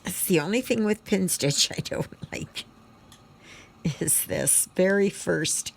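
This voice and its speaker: A woman talks in a low voice at a very slow pace, with some fry in it. She chats casually while crafting, pausing and wandering from thought to thought as if thinking out loud. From Canada. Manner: unhurried, halting, thinking aloud. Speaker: craft vlogger. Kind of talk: casual rambling chat while stitching.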